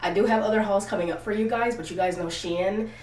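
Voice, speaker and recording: A woman's voice: medium pitch, quick pace, a little mellow. A young woman with a stuffy cold hosting a casual clothing try-on vlog, talking candidly and straight to her viewers. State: congested from a cold, sniffly. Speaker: fashion vlogger, channel host. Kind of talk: casual try-on haul chat to camera. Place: outdoors, windy. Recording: roomy echo, distant mic, faint ambient noise.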